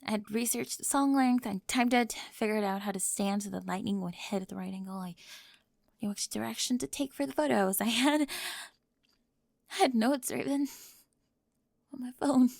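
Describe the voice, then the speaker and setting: A woman playing an anxious, perfectionist teenage girl in an ASMR roleplay, halting and fragile, often dropping into a breathy whisper. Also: fairly high pitch, darkish tone, no room sound, clean studio sound. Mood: hurt, defensive. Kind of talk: ASMR roleplay audio drama.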